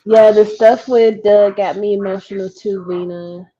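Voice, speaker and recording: A woman's voice: mid-range voice, fairly even intonation, unhurried, mellow. A woman co-hosting a casual chat about books, on slightly dull audio. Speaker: book discussion co-host.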